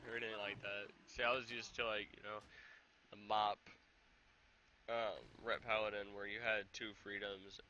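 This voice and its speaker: A young man with a sore throat, giving casual, rambling, excitable commentary over his own gameplay on a headset mic. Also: fairly low pitch, moderate pace, lo-fi sound, slight background noise. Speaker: gaming YouTuber.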